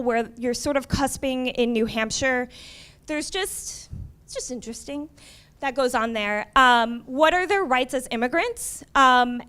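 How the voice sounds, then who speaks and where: A woman's voice: high-pitched, fairly bright tone, smooth. A young woman from Miami, a community college librarian, giving a conversational conference presentation with wry asides. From Miami, USA.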